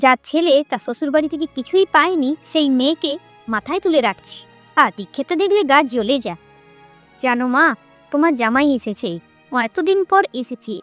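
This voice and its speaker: Cartoon sister-in-law, female performer: young, jealous, bitter, scolding, high voice. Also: lively intonation, brisk, a bit bright, faint music behind. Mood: jealous, complaining. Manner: complaining, scolding. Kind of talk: Bengali cartoon fairy-tale voice acting.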